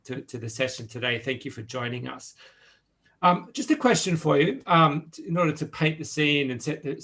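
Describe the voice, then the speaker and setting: A man moderates a panel discussion and brings in a guest speaker. He clears his throat and restarts his phrases with small hesitations. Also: mid-range voice, fairly bright tone.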